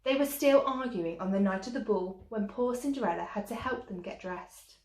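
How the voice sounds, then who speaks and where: A woman's voice: medium pitch, normal speed, slight background noise. A woman storyteller reading a children's tale expressively, like a teacher to young listeners. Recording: echoey room.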